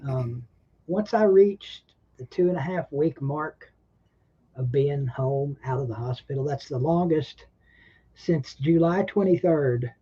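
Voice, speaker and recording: A man talks loosely on a home livestream, drawling and rambling along while a little short of breath. His voice is husky and mellow. The stream audio sounds slightly dull and lo-fi. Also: a little high, slow pace. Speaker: livestream host. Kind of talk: casual livestream chat.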